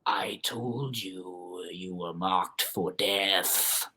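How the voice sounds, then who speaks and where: Storyteller doing creepy owl spirit, real low n slow, menacing, drawn out, spooky story. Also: sharp tone, slightly rough, full character voice. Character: eerie chorus-like voice of doom from owls.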